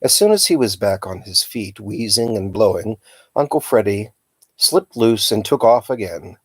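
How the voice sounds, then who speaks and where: A man reading a children's novel aloud as a storyteller, with a dramatic, expressive delivery. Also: fairly low pitch, moderate pace, slightly rough, no room sound. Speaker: storytime reader.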